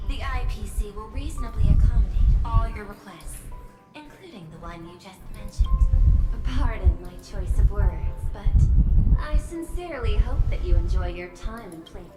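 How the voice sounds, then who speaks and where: A woman's voice: mid-range voice, lively intonation, normal speed, lightly acted. A woman playing a courteous young corporate executive and governor in a game, speaking formally and diplomatically. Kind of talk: scripted game dialogue. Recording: echoey, music over the voice.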